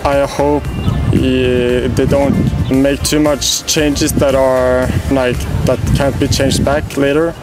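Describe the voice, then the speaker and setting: A man's voice: medium pitch, level tone, slow pace, over loud music, rough recording. A young man, a student, answering hesitantly with many fillers and light laughs. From Norway. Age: young adult. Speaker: international student.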